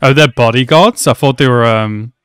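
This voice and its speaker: A man's voice: medium pitch, moderate pace, fairly bright tone, clear, resonant tone. A young man casually reacting to an anime episode, chatty and swearing a lot, calling the characters bro. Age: young adult. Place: small room at home. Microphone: headset mic.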